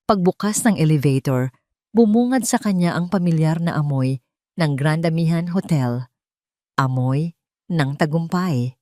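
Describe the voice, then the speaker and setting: A woman's voice: low-pitched, a little mellow, no room sound. Dry-voiced woman narrating a drama. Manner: dramatic storytelling, reading all parts. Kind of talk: scripted audio drama narration.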